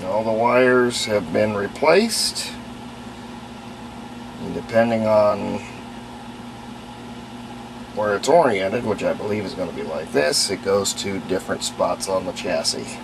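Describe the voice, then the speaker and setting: A man's voice: lowish voice, takes it slowly, gravelly, pauses often, background noise. A man giving a casual, unscripted hands-on repair demonstration, plainspoken and thinking aloud as he works. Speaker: host of a radio restoration video.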